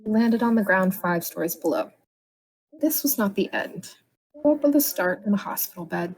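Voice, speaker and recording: A woman's voice: medium pitch, lively intonation, moderate pace, a little mellow, husky, rough recording. Woman reading a story aloud dramatically. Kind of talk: dramatic bedtime-story narration of a comic horror tale.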